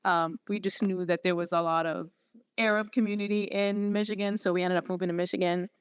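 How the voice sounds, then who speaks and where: A woman's voice: fairly low pitch, fairly even intonation, clear, resonant tone, dry recording. Earnest woman. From Syria. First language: Arabic. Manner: earnest, halting with many fillers and restarts. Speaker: podiatry student guest. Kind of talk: personal story in interview.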